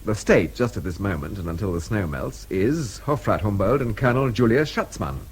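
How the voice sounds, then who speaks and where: A man's voice: lowish voice, expressive, normal speed, slight background noise, lightly acted. An actor playing a capable, exasperated British man with a sarcastic, clipped, superior manner in a radio drama. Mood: sardonic, brisk.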